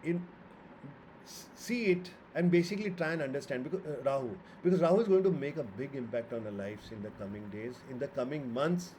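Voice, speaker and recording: A man's voice: mid-range voice, fairly quick, darkish tone, slight background noise, rough recording. A man with an Indian accent giving an informal, opinionated astrology lecture, making emphatic points and posing rhetorical questions. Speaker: astrologer and author.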